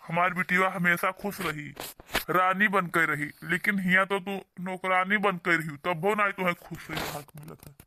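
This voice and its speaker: A man's voice: high-pitched, bright, forceful tone, slight background noise, full character voice. A man voicing a protective, indignant younger brother from a poor village in a cartoon, insistent, with an Awadhi accent. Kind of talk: cartoon dialogue.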